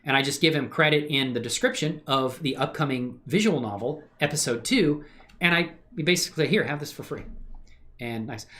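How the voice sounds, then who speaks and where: A man's voice: mid-range voice, a little fast, a bit bright. A middle-aged man chatting informally and enthusiastically as he hosts a casual livestream demonstration. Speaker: livestream host and hobby animator.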